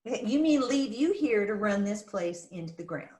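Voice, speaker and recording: A woman's voice: medium pitch, animated, normal speed, darkish tone, echoey room, close to an everyday voice. An actress playing a cranky, nosy older mother in a screenplay table read, bossy and theatrical. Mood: suspicious, bossy.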